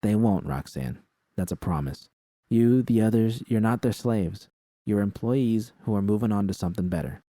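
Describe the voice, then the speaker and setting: A man's voice: lowish voice, normal speed, mellow, no echo, studio quality, lightly acted. A man voicing a streetwise, protective young woman in a story, with blunt, slangy, reassuring talk. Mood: reassuring, firm.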